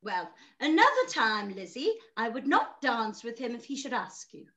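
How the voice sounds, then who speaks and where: A middle-aged woman with an English accent playing an excitable, gushing, easily vexed Regency mother in a period drama read-through, effusive and full of exclamations. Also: on the high side. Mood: indignant. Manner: effusive, breathless exclamations, drawn-out words. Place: room at home, on a video call.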